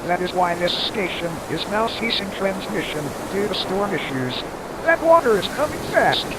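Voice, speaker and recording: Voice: medium pitch, very animated, natural voice. A performer voicing a foul-mouthed, hot-tempered storm reporter in an amateur audio drama, taunting and melodramatic. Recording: loud rushing wind and surf noise.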